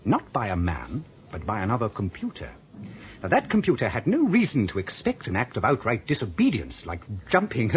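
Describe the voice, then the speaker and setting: A man playing a computer programmer in a radio drama, explaining things patiently and chattily. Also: medium pitch. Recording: dull lo-fi audio with faint noise.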